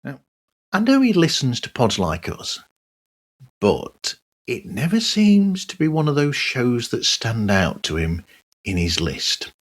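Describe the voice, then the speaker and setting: Middle aged guy doing a solo podcast, really slow n halting, bit raspy. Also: medium pitch, big pitch swings. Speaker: podcast host. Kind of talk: solo podcast monologue, conversational.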